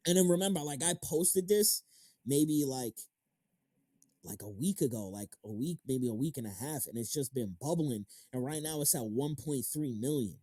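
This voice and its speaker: A man with a mellow, relaxed voice, recorded close and dry. He hosts a casual interview and talks in loose slang with plenty of swearing, hyping up his stories. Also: mid-range voice, moderate pace, dry recording. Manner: slangy, profane, hype storytelling. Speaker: interviewer, YouTube channel host.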